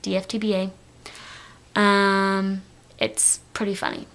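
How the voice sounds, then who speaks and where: A teenage girl with a light Texan accent talks straight to her camera in a loose, rambling way. Her voice sits at an ordinary middle pitch, and she fills her sentences with ums and quick restarts. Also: monotone, fairly bright tone. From Texas, United States. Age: teens. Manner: chatty, rambling, many fillers and restarts. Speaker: vlogger. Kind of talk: casual talk to camera.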